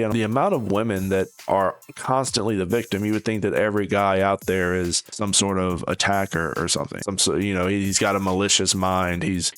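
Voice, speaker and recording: A man with a fairly deep, clean and resonant voice talks casually as a video commentator. He is opinionated and critical, rambling through his points as he hosts. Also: smooth. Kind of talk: casual reaction commentary to camera.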